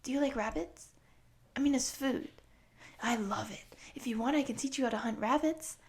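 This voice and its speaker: A woman's voice: sits high, moderate pace. Woman voicing a peppy, chatty young wolf girl. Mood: eager, friendly. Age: young adult. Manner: rambling, excitable, digressive. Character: peppy, chatty, friendly eighteen-year-old wolf girl. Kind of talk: audio roleplay addressed to the listener.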